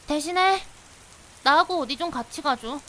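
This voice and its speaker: A woman playing a persistent young female investigator in a visual novel, playful and sulky, with a wheedling, pouty, expressive delivery. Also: high-pitched, normal speed. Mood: sulky, pushy. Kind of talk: visual novel voice acting, anime-like drama. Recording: hiss with steady rain behind.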